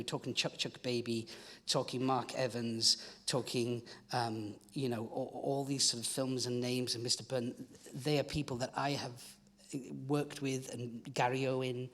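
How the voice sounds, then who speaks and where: A man on a conference panel, a film producer who talks in a loose, halting way and often repeats words. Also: medium pitch, flat delivery, fairly bright tone. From Wales. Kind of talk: panel discussion. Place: conference hall. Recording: hall reverb.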